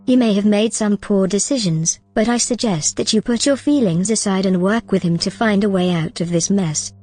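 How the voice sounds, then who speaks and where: Female AI role: calm, precise, reassuring, mid pitch. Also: moderate pace, soft background music. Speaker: AI companion character. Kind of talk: audio drama acting.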